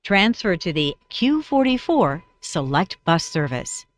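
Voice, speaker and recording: A woman reading scripted transit announcements in a formal, clearly enunciated manner. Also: on the low side, big pitch swings, unhurried, no echo.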